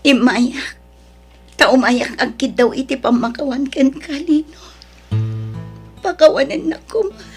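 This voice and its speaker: A woman's voice: high voice, measured pace, long pauses, darkish tone, soft background music. A woman with an Ilocano accent playing a sick, tearful, ashamed wife pleading with her family in an emotional melodrama. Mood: ashamed, subdued. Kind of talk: Ilocano radio-style melodrama acting.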